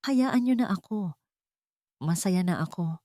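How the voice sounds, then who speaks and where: A woman's voice: on the low side, lively intonation, a little slow, dark tone, dry recording, studio quality. A woman voicing a frail, resigned old grandmother with a weak, cracked voice in an audio drama.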